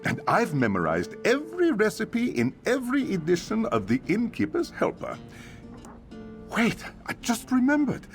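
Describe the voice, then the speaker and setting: A fussy male innkeeper talks in a high-pitched voice that leaps and dips dramatically. He is exasperated and grumbling, a theatrical fantasy game character, with light plucked-guitar music behind him. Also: huge pitch swings, normal speed, gravelly, exaggerated voice. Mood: puzzled, hopeful. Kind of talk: scripted video game character acting.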